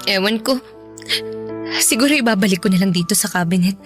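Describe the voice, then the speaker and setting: A woman's voice: mid-range voice, fairly quick, natural voice. An actress playing a wistful older sister who misses her father, in a Tagalog radio drama. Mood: wistful, sad. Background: background music.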